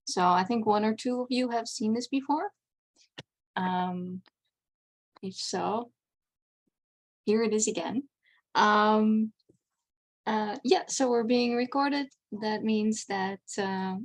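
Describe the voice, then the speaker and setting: A woman's voice: on the high side, measured pace. A Dutch woman whose first language is Dutch, giving a casual, chatty convention presentation over a video call, with wry asides. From Netherlands.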